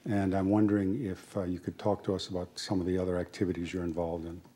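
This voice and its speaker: A man moderating an interview, asking questions in a deliberate, polite way with many pauses. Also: deep voice, little pitch movement, dark tone, slightly rough. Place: university panel event room.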